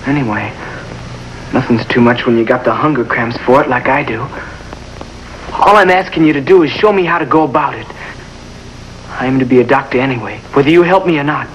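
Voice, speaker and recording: A man's voice: mid-range voice, brisk, pauses often, muffled recording, close to an everyday voice. An actor playing an earnest, stubborn young country boy with a folksy, plain way of talking in a 1950s TV drama. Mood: determined. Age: teenager. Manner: folksy, plain-spoken, determined. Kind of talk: 1950s American television drama acting. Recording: noisy old 1950s television soundtrack.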